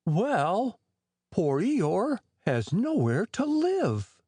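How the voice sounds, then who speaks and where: A woman voicing a slow-witted, kindly, earnest toy bear in a children's storybook reading. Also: medium pitch, wildly swooping pitch, dark tone, slightly rough, no echo, full character voice. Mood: thoughtful, earnest. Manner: ponderous, earnest.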